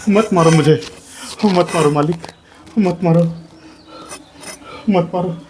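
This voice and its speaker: A man's voice: on the high side, steady tone, slow, a little mellow, a little hiss or hum. An actor playing a downtrodden laboring man who begs not to be beaten and cries out to God, in a repetitive, wailing delivery. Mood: pleading. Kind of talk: stage monologue acting.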